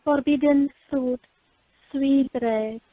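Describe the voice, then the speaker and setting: High-voiced woman acting a romantic heroine. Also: steady tone, slow pace, no echo. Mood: flirty. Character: eager, romantic woman, coy and playful. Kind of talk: amateur radio play acting. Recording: muffled telephone conference line.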